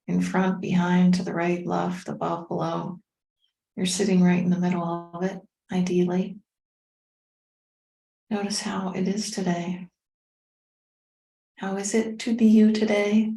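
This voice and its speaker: A woman leading a guided meditation as a teacher, speaking in a gentle, unhurried, soothing way with pauses. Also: medium pitch. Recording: roomy, echoing sound.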